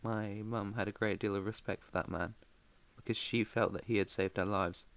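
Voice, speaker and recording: Man playing a low, soft-spoken British vampire master. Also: fairly even intonation, normal speed, lightly acted. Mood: haunted, solemn. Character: protective male vampire master, haunted, tender. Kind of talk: intimate ASMR roleplay monologue.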